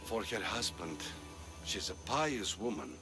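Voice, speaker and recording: Lowish-voiced, measured townsman. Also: big pitch swings, over music. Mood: reflective. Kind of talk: video game character acting.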